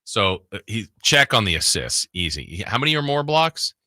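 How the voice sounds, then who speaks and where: Bright, forceful American sports radio man. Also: on the low side, sing-song, normal speed, no room sound, clean studio sound. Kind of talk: casual sports talk banter.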